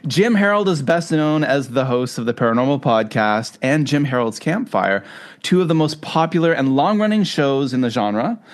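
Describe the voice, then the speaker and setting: A man's voice: mid-range voice, lively intonation, normal speed. A man from Canada with a Canadian accent, hosting a podcast in a conversational interview style. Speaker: podcast host.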